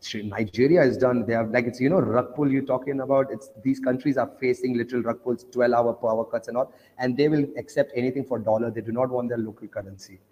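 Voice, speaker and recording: A man's voice: mid-range voice, fairly even intonation, quick pace, hardly any pauses, a little mellow, big-room sound, highs cut off. A man from Oman, a guest on a casual panel chat, telling stories eagerly with loose grammar.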